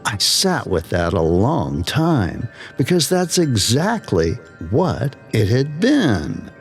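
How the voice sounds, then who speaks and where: A man narrating a scripted slow-burn horror story in a measured way with dramatic pauses. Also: medium pitch, wildly swooping pitch, faint music behind.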